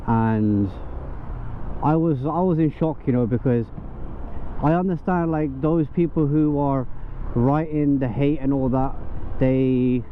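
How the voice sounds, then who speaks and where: London delivery guy vlogging on the go. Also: mid-range voice. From England. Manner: chatty, lots of fillers and asides to viewers. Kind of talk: casual vlog chat. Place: out on the street and in a car. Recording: tinny lo-fi audio with faint traffic.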